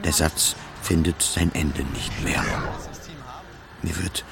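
Deep-voiced, anguished depressed psychiatric patient. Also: male voice, fairly even intonation, takes it slowly, creaky, heavy background noise, heavily acted. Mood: weary, dazed. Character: anxious, self-loathing depressed male psychiatric patient, confessional. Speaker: narrator of an autobiographical radio play. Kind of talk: scripted first-person radio drama narration. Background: music behind the voice.

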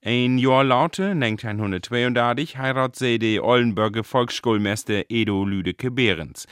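Guy reading a radio report in low german, northern accent, dry close studio sound. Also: moderate pace, fairly bright tone, clear, resonant tone, dry recording. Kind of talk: scripted report narration.

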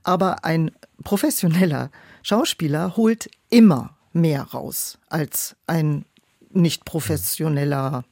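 A woman's voice: deep voice, slow, darkish tone, no room sound, clean studio sound. A woman speaking as an interview guest, explaining things in a considered way about her work as a producer. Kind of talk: interview conversation.

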